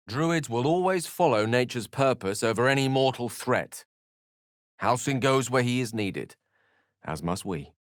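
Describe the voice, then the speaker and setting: A learned, self-possessed wizard from a fantasy video game. His voice sits a little low in a dry, studio-clean space. He shapes ornate phrases with care, theatrical flair and dry wit. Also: fairly bright tone, no room sound. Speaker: voice actor in a fantasy role-playing game. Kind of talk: theatrical game dialogue in short separate lines.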